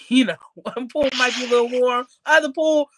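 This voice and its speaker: A woman's voice: high-pitched, fairly even intonation, moderate pace. A woman with a slightly stuffed-up nose chatting candidly and casually as a podcast guest, with slang and swearing. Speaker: podcast guest, card collector. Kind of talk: casual interview chat.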